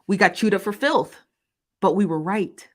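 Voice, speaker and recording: A woman hosting a commentary show, arguing her case with emphatic repetition and reading viewer comments. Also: medium pitch. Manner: emphatic, repetitive, argumentative, with quick laughs.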